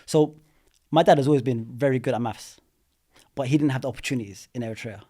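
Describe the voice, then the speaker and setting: A man's voice: mid-range voice, fast. Thirty-something man telling his story. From London. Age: 30s. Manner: relaxed storytelling, many fillers like "you know". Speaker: guest. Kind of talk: casual interview chat. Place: studio.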